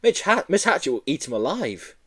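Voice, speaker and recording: A man plays a gleeful, tattling schoolchild in a children's story. The voice is pushed up in pitch and lively, full of gloating taunts. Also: brisk, husky. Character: gleeful, taunting schoolchildren, a tall girl among them. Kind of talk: children's audiobook character reading.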